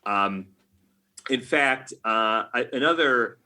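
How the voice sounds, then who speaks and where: A man's voice: medium pitch, slow, sharp tone. An American man answering as an interview guest in a conversational way, often backing up and rewording his explanations as he goes. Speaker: podcast guest, video game writer.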